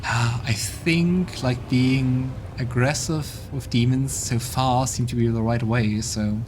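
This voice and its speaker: A man's voice: medium pitch, steady tone, takes it slowly, background noise. A man hosting a voiced let's play, reading game text and chatting casually. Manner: casual, reads dialogue aloud. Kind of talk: voiced game playthrough narration. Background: game music.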